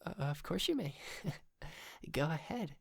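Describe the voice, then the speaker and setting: Casting: male actor as polite, obsessive yandere lover for ASMR roleplay; unhurried, creaky delivery, nervous giggly stammer. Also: fairly high pitch, big pitch swings, no echo, clean studio sound, exaggerated voice. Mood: shy, doting. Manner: stammering, giggly, hesitant, softly doting. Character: shy, lovestruck, polite yandere man, nervously obsessive kidnapper. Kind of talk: intimate ASMR roleplay acting.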